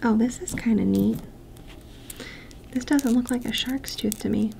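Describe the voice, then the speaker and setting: A woman doing a soft-spoken ASMR roleplay, mostly whispering and talking gently. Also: on the high side, measured pace, gravelly, background noise. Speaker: ASMR creator. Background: tapping and clicking sounds.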